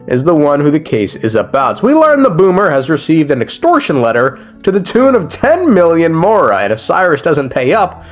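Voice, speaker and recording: A man's voice: fairly high pitch, wildly swooping pitch, normal speed, hardly any pauses, soft background music. A young man giving a casual, slangy and profane recap commentary as a gaming video creator, joking as he goes.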